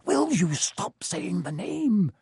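A man voicing a frightened young schoolboy in a hushed, hissing manner for an audiobook. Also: sits high, big pitch swings, slow pace, vocal fry, slightly rough, no room sound, clean studio sound, pitched above the performer's own voice, exaggerated voice. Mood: terrified, exasperated. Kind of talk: audiobook character voice.

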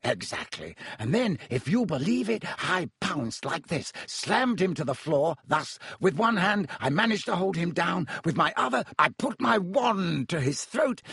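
Storybook role: a self-satisfied male wizard professor, pompous and showy, high swooping delivery, close dry recording. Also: fairly bright tone, husky, no room sound, exaggerated voice. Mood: dramatic, boastful. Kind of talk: audiobook character acting.

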